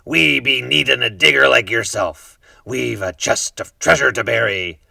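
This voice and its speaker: Storybook pirate captain, loud and gruff, gravelly and high-pitched, bellowing, as a male reader puts him on. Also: unhurried, dry recording, clean studio sound, recorded from a distance, exaggerated voice.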